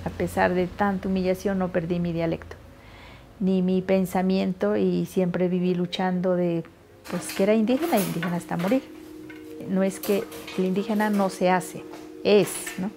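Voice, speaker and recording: A woman's voice: fairly low pitch, steady tone, moderate pace, over music. A middle-aged woman from Putumayo, Colombia, a weaver earnestly explaining her craft in a documentary interview.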